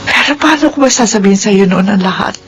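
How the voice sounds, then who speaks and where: A woman's voice: medium pitch, lively intonation, fast, fairly bright tone. Swooping Filipino remorseful mother. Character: remorseful, loving middle-aged mother. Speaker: radio drama actress. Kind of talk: Filipino radio drama acting. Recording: radio drama with music underneath.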